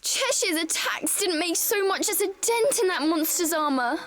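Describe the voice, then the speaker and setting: A woman's voice: very high-pitched, animated, normal speed, fairly bright tone. An actress playing a frightened, despairing young witch girl in a storybook video game.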